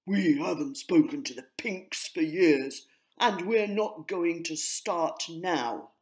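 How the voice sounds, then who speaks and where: A woman voicing a proud, cross male grey pigeon in a children's story, snooty and forceful. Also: lowish voice, slow pace.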